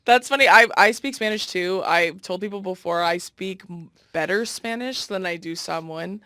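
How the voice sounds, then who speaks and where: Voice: medium pitch, moderate pace, pushed and bright, clean tone, no room sound, studio quality. A podcast host interviewing a guest, playful and teasing, laughing often. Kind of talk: casual interview chat.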